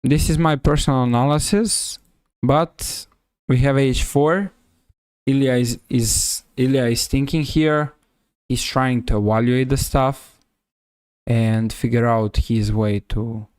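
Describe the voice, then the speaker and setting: A man with a Serbian accent giving live chess commentary on a stream, halting and often rephrasing himself. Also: medium pitch, fairly even intonation, a little mellow, clean tone, no echo.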